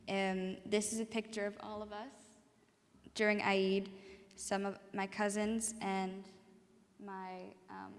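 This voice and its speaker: A young woman presents in a casual, unpolished way, pausing often on fillers. Her voice stays level and flat in pitch, and long reverb trails behind it in a large hall. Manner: hesitant, many fillers. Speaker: student presenter. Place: chapel hall.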